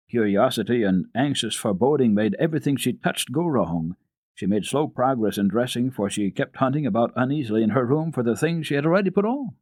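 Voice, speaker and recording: A male storyteller narrates a children's classic for radio. His voice sits at a medium pitch, and the recording is dry, with a dramatic reading style. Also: no room sound. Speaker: host and reader of a children's radio program.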